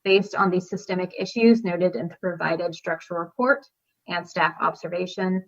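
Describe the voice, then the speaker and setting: A woman on city staff presenting a report to a hearing in a formal, measured way. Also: lowish voice, highs cut off.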